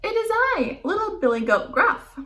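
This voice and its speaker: A woman voicing a little male billy goat, young, timid but clever, with a high piping voice. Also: sing-song, moderate pace, fairly bright tone. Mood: nervous.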